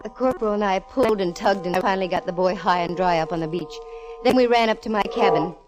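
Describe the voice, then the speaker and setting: A woman's voice: mid-range voice, fast talker, over music, natural voice, low-quality recording. An actress playing a cool, wisecracking female private eye in a 1940s radio drama, talking and narrating in a wry, hard-boiled manner. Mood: hard-boiled narration. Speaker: lead actress in radio detective show. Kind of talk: 1940s radio acting, first-person narration. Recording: vintage 1940s radio recording.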